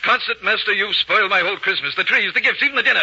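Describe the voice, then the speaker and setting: An actor playing an imperious, irascible emperor, demanding and scolding in 1940s radio drama style. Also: male voice, high voice, rapid-fire, bright, forceful tone, slightly rough, full character voice. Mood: indignant, demanding. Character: imperious, irascible emperor fretting over order. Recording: old muffled radio broadcast.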